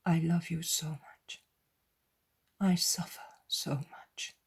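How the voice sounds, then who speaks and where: A woman reading the lines of a sorrowful, gentle male teacher in a hushed whisper. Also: deep voice, level tone, takes it slowly, gravelly, husky, heavily acted.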